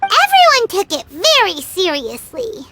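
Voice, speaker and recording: A woman voicing a small, childlike, cheeky fairy companion in a video game, with a squeaky voice. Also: wildly swooping pitch, unhurried, pushed and bright, a little hiss or hum, full character voice. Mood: curious. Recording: music behind the voice.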